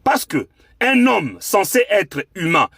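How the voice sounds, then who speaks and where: A man's voice: high-pitched, very animated, takes it slowly, gravelly, a bit bright, husky, no room sound, studio quality. A man with a Congolese accent delivering an impassioned political monologue as a commentator, emphatic and rhetorical, repeating phrases for effect. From Democratic Republic of the Congo.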